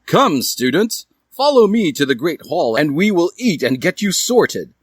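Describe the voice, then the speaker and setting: A man's voice: fairly high pitch, sing-song, unhurried, heavy background noise, voice pushed up. A man voicing an old wizard headmaster who calls out to students in a folksy, commanding way in a story.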